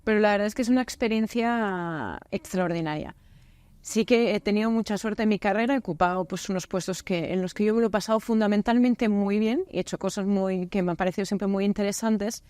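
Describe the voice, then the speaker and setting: A woman from Spain, a business unit director, talks about her work as a guest on a podcast. She sounds friendly and candid. Her voice sits at a middle pitch, and the studio sound is dry and close. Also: a little fast, darkish tone, no room sound. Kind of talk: casual interview chat.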